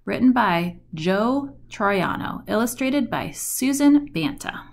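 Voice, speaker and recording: Woman reading slowly with very animated pitch. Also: vocal fry, slight background noise. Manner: deliberate, dramatic storytelling delivery with emphasis on rhymes and action words. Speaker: storybook reader. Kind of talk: expressive read-aloud of a rhyming children's picture book.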